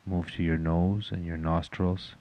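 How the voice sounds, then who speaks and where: A man leading a guided meditation in a calm, measured, instructional manner. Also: low voice.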